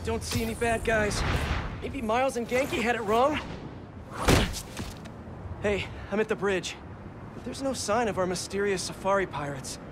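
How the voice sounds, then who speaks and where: A high-pitched, wisecracking young superhero. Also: male voice, a little slow, long pauses, very noisy. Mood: musing, then reassuring. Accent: American. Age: young adult. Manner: wisecracking, chatty. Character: wisecracking young male superhero, earnest and chatty. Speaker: game hero. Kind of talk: video game voice acting.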